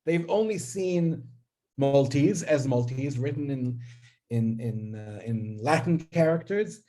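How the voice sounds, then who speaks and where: Hesitant lecturing man, mellow voice. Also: mid-range voice, slow. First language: Hebrew. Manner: hesitant, many fillers and restarts; asks listeners "right?". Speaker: linguistics lecturer. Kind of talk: academic lecture.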